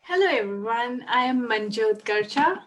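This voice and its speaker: A woman's voice: fairly high pitch, lively intonation, moderate pace. A woman hosting a casual spiritual chat on a live video call from home, effusive and laughing often. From Australia. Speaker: host.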